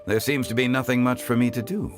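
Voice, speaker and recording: An actor playing a calm, courteous mature gentleman in a video game, speaking in a measured, formal way. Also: medium pitch, over music, close to an everyday voice. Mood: composed. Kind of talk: video game character dialogue.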